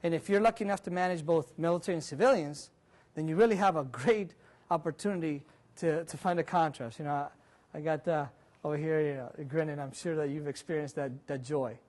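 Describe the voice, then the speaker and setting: A man in his forties giving an animated career seminar in a large conference room, moving about and quizzing the audience, picked up by a distant camera. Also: a little high, a little fast. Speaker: seminar speaker. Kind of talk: interactive career seminar talk. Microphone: far camera, room mic.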